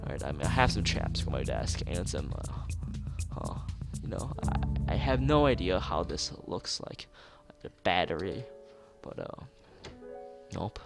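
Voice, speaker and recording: A man's voice: sits low, big pitch swings, measured pace, creaky. A man streaming a let's play, reading a visual novel aloud with casual asides, often tripping over words and rereading them. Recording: music playing behind the voice.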